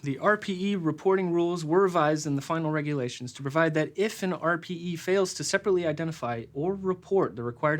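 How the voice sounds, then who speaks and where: Guy reading tax stuff out loud, slightly high voice, slow and formal. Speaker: accountant. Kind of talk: reading technical rules aloud.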